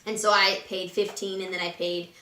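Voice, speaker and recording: A woman with a raspy voice today, casually talking through a makeup tutorial at home. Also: mid-range voice, normal speed, reverberant, rough recording. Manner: chatty, relaxed and instructive, with frequent fillers. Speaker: beauty vlogger. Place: room at home.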